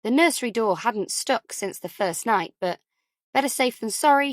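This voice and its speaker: A woman's voice: medium pitch, dry recording, clean studio sound. A woman reading a thriller novel as a scripted audiobook narration.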